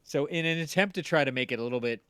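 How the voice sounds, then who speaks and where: A man's voice: on the high side, very fast, fairly bright tone, smooth, no room sound. Man explaining his show, dry close sound. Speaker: audio drama creator and stream host. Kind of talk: casual chat about their own show.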